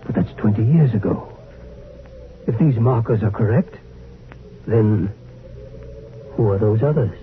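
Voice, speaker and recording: An actor playing a sober, puzzled spaceship crewman in a 1950s radio drama, with a deliberate delivery. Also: fairly low pitch, faint music behind, close to an everyday voice. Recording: tinny, noisy old radio recording.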